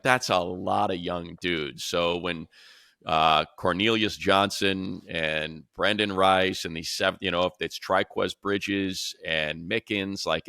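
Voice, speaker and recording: Deep, bright-voiced man, emphatic sports talk. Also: no echo, clean studio sound. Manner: emphatic, repeats points. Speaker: sports show host, play-by-play announcer. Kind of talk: casual sports panel talk.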